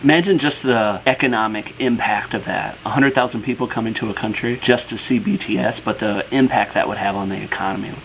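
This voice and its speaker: A man's voice: fairly low pitch, a little fast, few pauses, a bit bright, a little hiss or hum, low-quality recording. A man hosting a reaction video who works in education, commenting in a reflective, admiring way.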